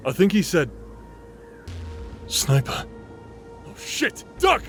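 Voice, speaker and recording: A man's voice: high-pitched, huge pitch swings, slow, fairly bright tone, soft background music, exaggerated voice. A man playing a brash, irritable, cocky fighter in an audio drama, blunt and sarcastic. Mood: loud, alert. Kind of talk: anime audio roleplay acting.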